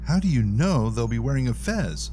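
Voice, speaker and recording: A man's voice: mid-range voice, sing-song, normal speed, warm tone, slight background noise, lightly acted. A man playing a childlike, easily distracted big fellow in a comic sci-fi audio drama, naive and guileless. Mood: puzzled.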